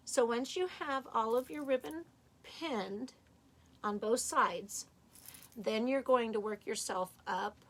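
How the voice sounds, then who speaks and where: A woman teaching a live sewing tutorial in a chatty, hands-on way, her voice slightly raspy and tired as she works. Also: fairly high pitch. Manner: chatty, talks while working, restarts often. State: tired, a little hoarse. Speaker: sewing instructor.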